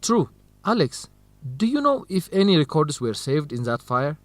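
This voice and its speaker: A curious, supportive young man in a radio drama. His expressive voice moves very freely in pitch and is recorded dry and close. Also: moderate pace, no room sound. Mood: curious, teasing. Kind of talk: educational radio drama acting.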